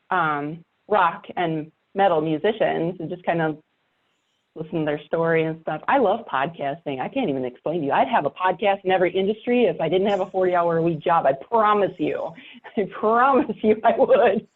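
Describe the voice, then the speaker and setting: A woman's voice: lowish voice, lively intonation, normal speed. A woman podcaster chatting casually and emphatically, repeating herself to drive points home. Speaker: podcast host and writer. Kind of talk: casual chat. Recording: thin, phone-like call audio.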